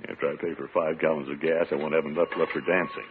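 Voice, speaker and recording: An actor playing a pompous, penny-pinching, middle-aged suitor who blusters and mutters asides in an old-time radio comedy. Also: male voice, extremely low pitch, little pitch movement, fast talker. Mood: flustered. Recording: tinny, noisy vintage radio recording.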